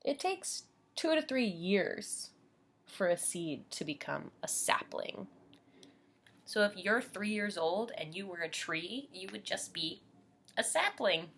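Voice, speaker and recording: A woman's voice: medium pitch, big pitch swings, takes it slowly, gravelly, fairly bright tone, husky. An American woman teaching young children as she reads a picture book aloud, explaining simply and putting questions to her listeners.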